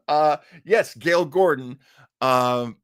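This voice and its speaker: A man's voice: fairly high pitch, clear, resonant tone, no room sound. A middle-aged man hosting a live stream, chatting casually and reading viewer comments in a rambling, enthusiastic way with frequent hesitations. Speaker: live-stream host of an old-time radio show.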